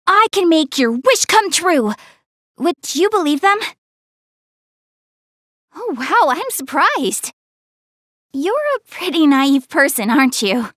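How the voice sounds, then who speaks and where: Very high-pitched, flustered anime girl. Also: fairly bright tone, no echo, clean studio sound, exaggerated voice. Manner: self-conscious, defensive, hesitant backtracking. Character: earnest, easily flustered young goddess girl, proud but shy. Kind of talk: English anime game voice acting, addressing the player.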